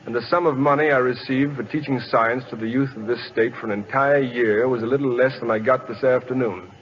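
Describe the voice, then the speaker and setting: A man with an American accent playing a modest, educated teacher turned pitcher in radio drama, polite and hesitant. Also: steady tone, fairly quick, a little hiss or hum, natural voice. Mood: resigned. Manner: polite, hesitant, well-spoken. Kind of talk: 1940s radio drama acting. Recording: dull old radio recording.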